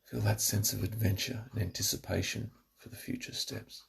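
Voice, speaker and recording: Male relaxation narrator, lowish and extremely gravelly, giving soothing, measured instructions from a script. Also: flat delivery. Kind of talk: scripted guided relaxation meditation.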